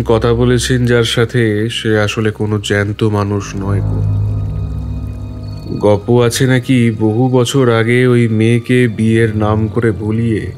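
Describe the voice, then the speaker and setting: Mysterious night wanderer: low, unhurried, cold and solemn rustic villager for a horror audio drama, music underneath. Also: male voice, darkish tone. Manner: slow, cold-toned, rustic turns of phrase. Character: mysterious pale village man searching at night, eerie and solemn. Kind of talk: audio horror story acting.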